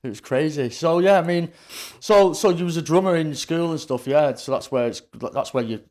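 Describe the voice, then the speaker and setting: A man's voice: on the high side, moderate pace, a little mellow. A middle-aged man, hosting a podcast interview as an informal, colloquial chat. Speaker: podcast host.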